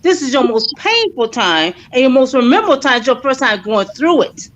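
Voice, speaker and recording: A woman's voice: high voice, sing-song, moderate pace, a little hiss or hum. A middle-aged woman in casual group chat, lecturing insistently and repeating herself to drive a point home. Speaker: viewing-party panelist. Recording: slightly dull lo-fi stream audio.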